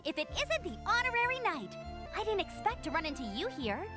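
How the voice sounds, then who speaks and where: 높고 발랄한 젊은 여성 정찰기사. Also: female voice, extremely high pitch, very animated, a little fast. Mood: friendly concern. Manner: upbeat, encouraging. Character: perky, friendly, helpful young female scout knight. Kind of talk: anime game voice acting. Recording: game music behind the voice.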